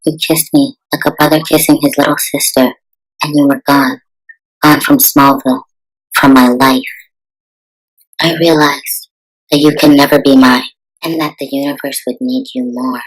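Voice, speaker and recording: A woman's voice: rumbling low, steady tone, moderate pace, long pauses, poor audio. An actress playing a wistful, heartbroken small-town sweetheart, telling her story in a dramatic motion comic style. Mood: bittersweet, longing.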